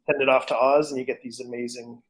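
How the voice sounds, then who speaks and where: A man's voice: mid-range voice, flat delivery, fast, husky, poor audio. A man in casual conversation as a podcast co-host. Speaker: podcast co-host and comic writer. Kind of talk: casual chat.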